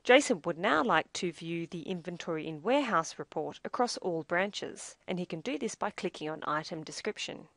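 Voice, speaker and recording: Low-pitched woman narrating a tutorial, dry sound. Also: animated, moderate pace, vocal fry, hardly any pauses, dry recording. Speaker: software demo presenter. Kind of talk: scripted instructional narration.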